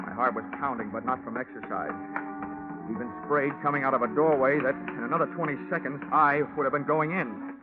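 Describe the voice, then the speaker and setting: A man acting a hard-boiled American private investigator in an old radio play. He talks in a clipped, wry way at a middling pitch. The recording is tinny and narrow, with music behind him. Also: fairly quick, natural voice. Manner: clipped, wry, dry delivery. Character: hard-boiled, wisecracking male freelance insurance investigator. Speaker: lead actor, narrator. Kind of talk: 1940s radio detective acting.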